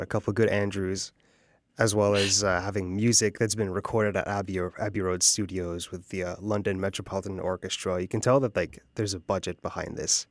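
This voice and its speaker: A man with a fairly low voice hosts a review podcast. The sound is dry, and he presents and asks questions in a conversational style. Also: dry recording. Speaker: podcast review show host. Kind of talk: conversational hosting and interviewing.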